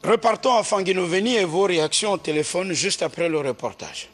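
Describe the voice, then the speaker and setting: A man presents a television programme from the studio. His delivery is formal and measured. His voice sits high. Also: lively intonation, a bit bright, a little hiss or hum.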